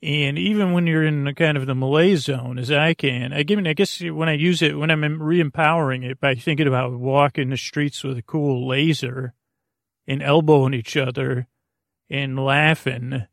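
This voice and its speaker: Male podcast host, gravelly and creaky, dry close sound. Meandering, stop-and-start delivery, whimsical and casual. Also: on the high side, fairly even intonation, normal speed, no room sound. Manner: goofy, whimsical. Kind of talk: rambling casual monologue for a sleep podcast.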